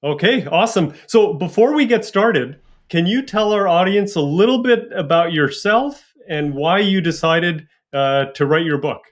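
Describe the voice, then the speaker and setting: A man's voice: sits high, lively intonation, moderate pace, hardly any pauses. Upbeat male podcast host. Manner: upbeat, welcoming, with frequent fillers. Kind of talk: podcast interview.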